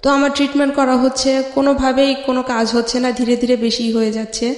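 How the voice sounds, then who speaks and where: A very high-pitched voice recounts a personal life story as an interview guest. The delivery is halting, with frequent pauses. The sound is strongly echoey, with a long hall-like reverb. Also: completely flat delivery. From Bangladesh.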